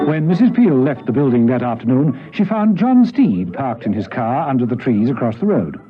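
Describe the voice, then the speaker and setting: A man's voice: mid-range voice, big pitch swings, moderate pace. A man narrating a spy radio serial in a dramatic, scripted storytelling style. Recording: muffled vintage radio recording, music behind. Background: music.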